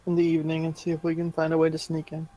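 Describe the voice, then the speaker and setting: Male roleplaying player, higher register, little pitch movement, informal delivery. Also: completely flat delivery, rapid-fire, dark tone, rough recording. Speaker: tabletop game player. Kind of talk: casual game chat.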